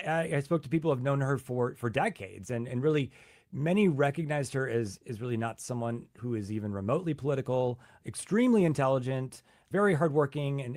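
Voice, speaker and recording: Brief: male news reporter, mid pitch, dry close mic, halting restarts. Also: a little mellow, dry recording. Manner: halting, repeats words at starts. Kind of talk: news interview, reporter explaining.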